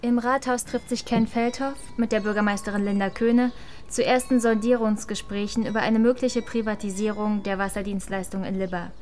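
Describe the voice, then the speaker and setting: An actress playing an earnest reporter, giving scripted documentary-style narration in a radio drama. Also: female voice, mid-range voice, steady tone, a little fast, a little mellow, faint music behind, close to an everyday voice. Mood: measured, inquiring.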